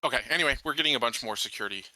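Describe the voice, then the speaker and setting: A man's voice: mid-range voice, racing, bright, forceful tone, dry recording, rough recording. A man playing a tabletop game, casually summing up and confirming details in a matter-of-fact way.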